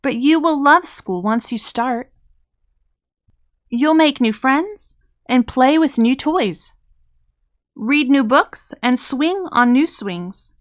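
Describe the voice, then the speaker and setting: A woman voicing a gentle, reassuring mother raccoon in a children's storybook, speaking soothingly. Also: sits high, expressive, slow pace, long pauses, no room sound, studio quality. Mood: gently reassuring. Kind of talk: children's storybook character reading.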